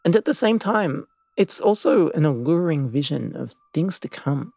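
A man hosting and narrating a scripted documentary in a measured, reflective way. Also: fairly high pitch, expressive, creaky, a little mellow, dry recording, studio quality. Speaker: podcast host and narrator.

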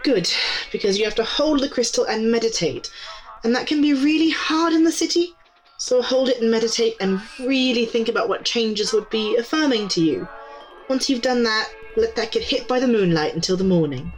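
A woman's voice: fairly high pitch, expressive, moderate pace, faint music behind, close to an everyday voice, rough recording. A woman playing an easygoing, helpful young clerk of a magic shop in an audio drama, talking casually. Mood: explaining. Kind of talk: naturalistic audio drama dialogue.

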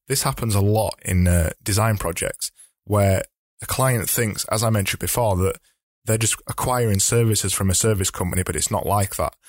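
A man hosting a solo advice podcast, talking in a chatty, explanatory way, slightly hoarse as he loses his voice. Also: lowish voice, no echo, clean studio sound. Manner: chatty, explanatory, with frequent restarts. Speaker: podcast host.

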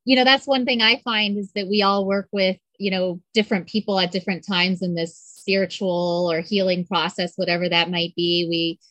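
A woman's voice: lowish voice, little pitch movement, normal speed, hardly any pauses, fairly bright tone, no echo, low-quality recording. A woman hosting a podcast who asks loose, rambling questions in a chatty, laughing way. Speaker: podcast host. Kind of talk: casual interview chat.

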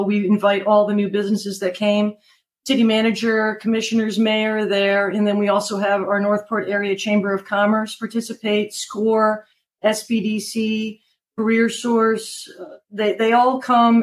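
An American woman from Maryland speaking as an interview guest, a city manager talking conversationally and with upbeat conviction. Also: medium pitch. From Maryland, United States.

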